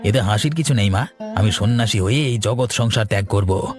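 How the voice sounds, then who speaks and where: Man as a low-voiced, stubborn village son. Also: fairly quick, music in the background, close to an everyday voice. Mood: stubborn, lofty. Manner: argumentative, self-important. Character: stubborn idle grown son of a village household. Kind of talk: cartoon voice acting.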